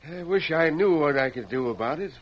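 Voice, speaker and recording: An actor playing a crooked, bullying small-town sheriff with a gruff, blustering manner, in an old radio drama. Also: male voice, on the high side, lively intonation, fairly quick, muffled recording. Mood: placating. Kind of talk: 1930s radio acting.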